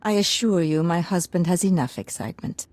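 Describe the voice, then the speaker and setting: Game noblewoman, female actor: lowish register, haughty, formal, guarded, stern. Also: expressive, brisk, darkish tone, no room sound, close to an everyday voice. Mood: formal, cool. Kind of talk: scripted video game drama acting.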